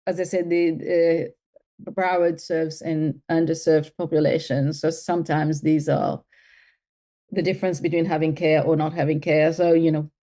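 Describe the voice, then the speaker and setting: A woman from Mauritius speaks as an interview guest, her voice low and mellow. She thinks aloud, circling back with fillers and restarts as she builds each answer. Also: little pitch movement, normal speed. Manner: thoughtful, with many fillers and restarts. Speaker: guest, health tech CEO.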